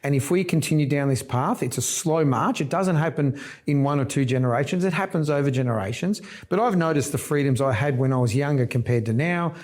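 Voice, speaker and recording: A man's voice: on the high side, fairly quick, a little mellow. A middle-aged man from Argentina, a politician talking casually and emphatically, often breaking off and restarting words. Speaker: politician guest.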